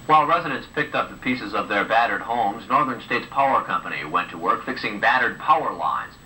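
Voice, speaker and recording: A man's voice: lowish voice, lively intonation, a little fast, bright, forceful tone. A man presenting the news, reading scripted broadcast narration. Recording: old home videotape of TV news, distant, hissy.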